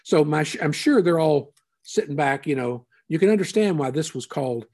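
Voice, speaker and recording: Guy pastor preaching casually, husky warm voice, lots of little asides. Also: fairly high pitch, animated. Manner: unhurried, thinking aloud with frequent asides. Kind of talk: conversational sermon.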